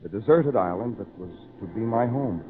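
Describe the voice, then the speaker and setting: An actor playing a lonely shipwrecked man who tells his own tale in earnest, theatrical, measured phrases with dramatic pauses, in old-time radio style. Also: lowish voice, animated, close to an everyday voice. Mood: determined. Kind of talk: old-time radio drama acting, first-person narration. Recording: tinny, band-limited old radio recording, faint noise.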